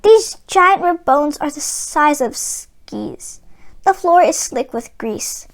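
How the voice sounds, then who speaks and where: A woman's voice: high voice, expressive, slow pace, exaggerated voice. A woman voicing a bold, folksy talking jukebox with sassy, theatrical delivery in a children's storybook. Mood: urgent, scheming. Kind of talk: children's storybook read-aloud.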